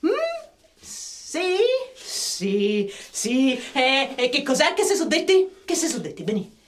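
Radio play schemer: Roman accent, sly and wheedling, high swooping delivery, roomy sound. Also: female voice, sing-song, slow, exaggerated voice. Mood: prying. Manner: coaxing, repetitive, impatient. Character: wheedling, sly schemer bribing a simple youngster. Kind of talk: radio drama acting. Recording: roomy, distant mic, faint noise.

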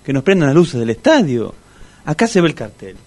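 A man's voice: on the high side, very animated, fairly quick, a little mellow. An actor with a Rioplatense Argentine accent playing an exasperated straight-man friend who takes charge and repeats himself insistently in a comic radio play.

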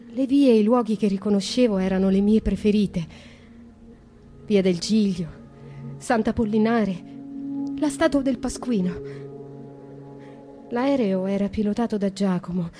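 An actress in a radio drama playing a haunted young woman who tells her dark dreams in slow, intimate, hushed narration, with faint music beneath. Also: a little high, close to an everyday voice. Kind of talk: theatrical radio drama monologue.

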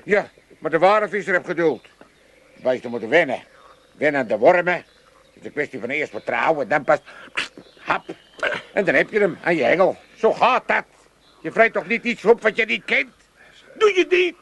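An older actor with an Amsterdam accent playing a pompous, excitable old father who stammers and repeats himself in a comedy radio play. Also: fairly high pitch, normal speed, long pauses, full character voice. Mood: smug, lecturing. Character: blustering, excitable old Amsterdam father, cackling at others' misery.

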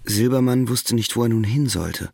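A man narrating a literary audio drama in a measured, sober way. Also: medium pitch, creaky, darkish tone, husky, no room sound.

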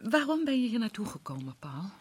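A guarded, wounded wife. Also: female voice, a little high, sing-song, a little fast, slightly rough. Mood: defensive. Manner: guarded, defensive, often hushed. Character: anxious wife of a disgraced archaeologist. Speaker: radio play actress. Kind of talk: 1960s radio drama acting.

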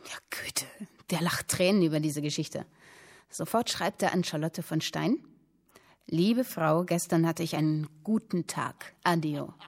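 A woman playing an expressive storyteller in a radio play, vividly recounting an old tale and savouring her phrases. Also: deep voice, unhurried. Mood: amused.

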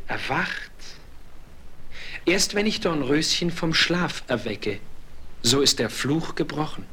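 Ardent prince, unhurried and bright. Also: male voice, fairly high pitch, husky, heavily acted. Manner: theatrical, declamatory, deliberate. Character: ardent, fearless young fairy-tale prince. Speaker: voice actor. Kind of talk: 1970s German fairy-tale radio play acting. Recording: faintly noisy, clicking vinyl record.

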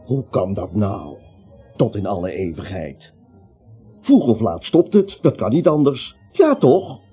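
A man's voice: fairly low pitch, sing-song, a little slow, warm tone, breathy edge, heavily acted. An actor playing a nervous, naive little everyman who rambles, hesitates and justifies himself in a comic radio play. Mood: puzzled, defensive. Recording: muffled old radio play, music behind.

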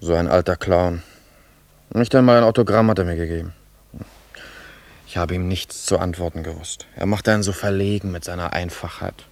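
Florid young disciple, very low voice, pompous, touchy, theatrical radio play. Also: male voice, fairly even intonation, moderate pace, long pauses, a little mellow, no room sound. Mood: scornful musing. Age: young adult. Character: pompous, earnest young admirer, easily affronted.

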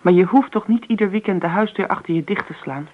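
A woman's voice: mid-range voice, fast, close to an everyday voice. An actress playing an insistent, coaxing woman in a Dutch radio play. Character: insistent, coaxing woman pleading with a sullen companion. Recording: tinny, phone-like old radio recording.

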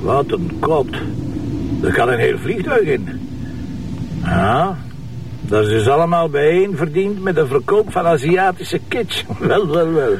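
Gruff flemish police boss, gravelly, sarcastic and short fused, bit of noise behind him. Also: male voice, fairly high pitch, lively intonation, measured pace, recorded from a distance. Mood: brusque. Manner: blunt, sarcastic, impatient. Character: gruff, irritable male police commissioner with a sharp tongue. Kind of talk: naturalistic TV crime drama acting.

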